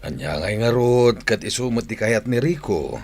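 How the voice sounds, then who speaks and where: A Filipino actor playing a grown family man in a radio drama, with a husky voice. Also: lowish voice, animated, moderate pace, dry recording. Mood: concerned. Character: henpecked, apologetic married engineer brother and uncle.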